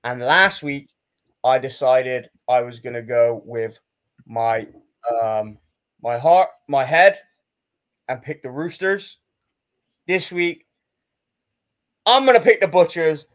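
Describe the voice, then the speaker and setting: A man's voice: mid-range voice, slow, pauses often, a bit bright. Male co-host, sure of his picks, plain delivery, ribs his partner now and then. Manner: confident, matter-of-fact, teasing. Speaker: podcast co-host. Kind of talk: casual sports picks chat.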